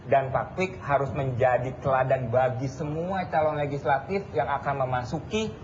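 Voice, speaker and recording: A man delivering formal, speech-like answers as a guest in a televised debate. The dull archive TV sound carries a clear, steady hiss behind him. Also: medium pitch, normal speed.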